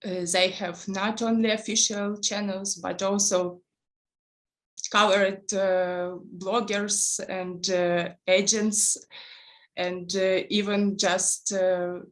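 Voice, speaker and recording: A woman's voice: mid-range voice, little pitch movement, slow pace, poor audio. A young adult woman from eastern Ukraine with a Ukrainian accent, answering interview questions hesitantly with many fillers and restarts. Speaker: guest, Fulbright program coordinator. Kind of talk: interview answers in a second language.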